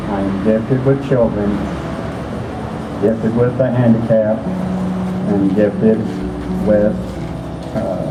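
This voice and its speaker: An older man telling his own story slowly and plainly at an open mic. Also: on the low side. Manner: slow, plain-spoken. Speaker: storyslam speaker. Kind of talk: personal story. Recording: echoey room with loud noise.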